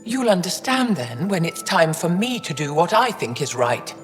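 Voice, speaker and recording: A woman's voice: fairly low pitch, big pitch swings, normal speed, in a large hall, faint music behind. An actress playing a proud, stern older female alien scientist in a video game, speaking formally and pointedly. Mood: bitter, pointed. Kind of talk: dramatic video game acting.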